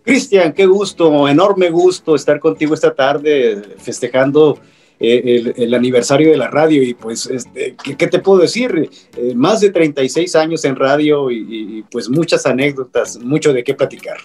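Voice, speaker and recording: Husky, hesitant radio man. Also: on the high side, normal speed, a little mellow, soft background music. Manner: hesitant, with fillers and restarts. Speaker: radio programming director.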